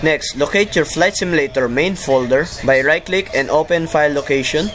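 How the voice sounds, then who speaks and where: A man with a Filipino accent narrating a software tutorial in an informal way. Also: on the high side, normal speed. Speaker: tutorial presenter. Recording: clearly noisy home voice-over recording.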